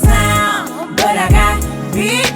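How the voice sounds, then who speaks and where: A woman's voice: extremely high pitch, sing-song, extremely slow. A woman from Florida singing a repetitive, chant-like R&B song. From Florida, Quincy. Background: music.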